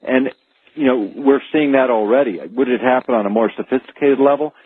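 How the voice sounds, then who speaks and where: A man speaking as an expert guest on a radio call-in show, explaining things in a halting but emphatic way. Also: medium pitch, breathy edge. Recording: tinny phone-like radio line.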